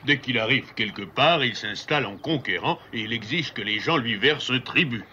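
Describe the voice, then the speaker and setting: A man voicing a grave, protective father beaver in a cartoon, speaking in a measured manner. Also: mid-range voice, expressive, pushed and bright, muffled recording, a little hiss or hum. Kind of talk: French cartoon dub acting.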